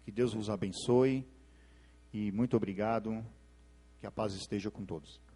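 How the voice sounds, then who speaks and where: Male guest speaker, formal close, low and mellow. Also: fairly even intonation, normal speed, slight background noise. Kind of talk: closing a formal speech.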